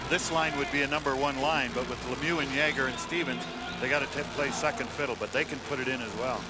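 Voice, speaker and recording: A man giving live colour commentary on hockey in a conversational, somewhat halting way. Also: mid-range voice, steady tone. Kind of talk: live sports analysis. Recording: loud arena noise behind. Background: loud hockey arena ambience.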